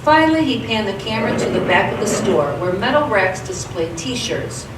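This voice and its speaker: A woman narrates a radio theatre piece from a script. Her mid-pitched voice comes from some distance in an echoey space, with clear noise behind it. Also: lively intonation, normal speed.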